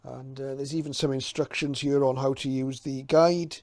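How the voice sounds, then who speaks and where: A man with a soft, relaxed tone gives an unscripted, informative commentary. His delivery stays very even and a little hesitant, as if thinking things over. The sound is close and dry. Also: medium pitch, moderate pace, no echo. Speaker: hobbyist presenter. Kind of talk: casual unscripted commentary while leafing through a guide.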